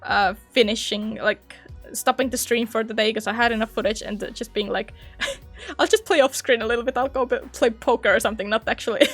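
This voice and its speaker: A young woman with a slightly high voice chats casually about her gaming channel, laughing lightly between phrases. Her voice is a little hoarse from hours of talking, and soft music plays faintly behind her. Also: brisk. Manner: chatty, giggly, laughs often between phrases. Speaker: gaming YouTuber. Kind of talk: casual reflective vlog chat.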